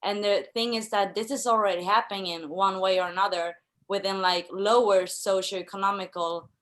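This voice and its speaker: A woman from Chile asking a question from the audience, speaking haltingly with many fillers. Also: medium pitch, steady tone, fairly bright tone. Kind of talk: conversational question.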